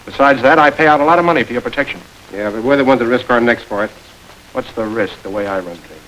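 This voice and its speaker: A smooth, sardonic gang boss played by a man holds court in an old crime film. His voice sits at an ordinary middle pitch and stays cool and self-assured. A faint hiss runs under the old soundtrack. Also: quick pace, fairly bright tone, close to an everyday voice, poor audio. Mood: commanding. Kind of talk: 1930s film acting.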